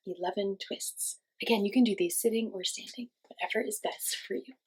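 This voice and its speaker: A woman teaching young children, giving simple encouraging instructions and asking questions, with pauses for answers. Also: mid-range voice, slightly rough, rough recording. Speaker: children's class teacher and host. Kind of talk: children's lesson with guided movement.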